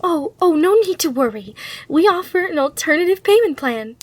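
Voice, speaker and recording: A woman's voice: very high voice, sing-song, moderate pace, voice pushed up. A woman voicing a sly, courteous female demon hotel receptionist in an ASMR roleplay, with reassuring customer-service patter. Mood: reassuring, coaxing.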